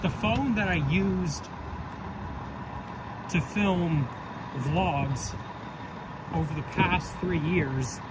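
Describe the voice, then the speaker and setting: A man's voice: high-pitched, very slow, pauses often. A man with a slightly stuffy, nasal voice from a cold, chatting in a rambling way to his phone as he walks a city street. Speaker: vlogger. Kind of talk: casual walking vlog. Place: city street outdoors with traffic. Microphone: phone held while walking. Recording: loud traffic noise outdoors.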